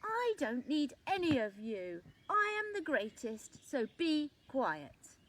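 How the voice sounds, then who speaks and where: A woman voicing a rude, self-important male leaf at the top of a tree, talking loudly. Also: very high-pitched, expressive, moderate pace, pitched above the performer's own voice. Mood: haughty. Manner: loud, shouting, self-important.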